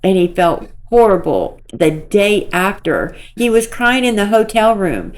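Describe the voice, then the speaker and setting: Slow-talking squeaky-voiced woman commentator. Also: sing-song. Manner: rambling, repeats herself, soft filler-laden talk. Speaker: commentary creator. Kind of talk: casual commentary to viewers.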